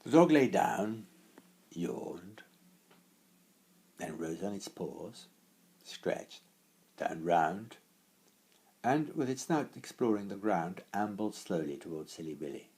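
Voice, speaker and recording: A man with a deep, breathy-husky voice reads a story aloud. His narration is expressive and deliberate, broken by dramatic pauses. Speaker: author reading own story.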